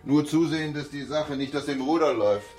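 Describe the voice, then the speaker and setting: A man's voice: fairly high pitch, fairly quick, a little mellow, soft background music, natural voice. An actor playing a Soviet militia officer in a noir radio drama, dry and teasing in his delivery. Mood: dry, needling.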